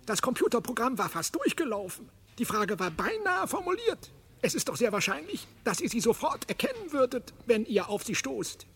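An actor voicing an excitable, condescending alien mouse in a German radio comedy, with a theatrical, exasperated delivery. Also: mid-range voice, normal speed. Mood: excited, scheming.

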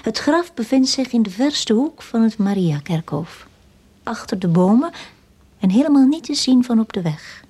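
A Flemish widow in a radio play, shaken yet calculating. Her voice sits fairly high with a husky grain, halting and breathy. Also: lively intonation, warm tone. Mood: cool, scheming. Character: shaken but coolly calculating widow, a detective's client. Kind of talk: radio drama acting.

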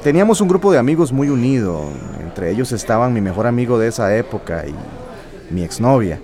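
An actor playing a wistful, evasive teacher in a radio drama, speaking in a hesitant, conversational way. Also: male voice, medium pitch, big pitch swings, brisk, a crowd in the background, close to an everyday voice. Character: wistful, evasive male teacher and former musician.

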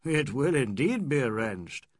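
A man's voice: mid-range voice, big pitch swings, unhurried, no echo, clean studio sound. A man reading a sardonic Englishman who teases and jeers. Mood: jeering. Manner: jeering, sardonic.

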